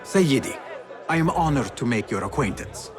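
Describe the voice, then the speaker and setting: An actor playing a polite, measured young assassin from medieval Baghdad in a video game, speaking formally. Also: male voice, medium pitch, big pitch swings, over music, crowd noise. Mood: courteous, smooth. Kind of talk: video game cutscene acting.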